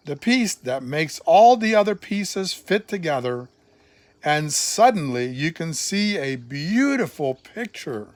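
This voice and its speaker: An older man, a grandfather, reading a children's Bible storybook aloud in an expressive way, with deliberate phrasing and emphatic pauses. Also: fairly high pitch, no echo.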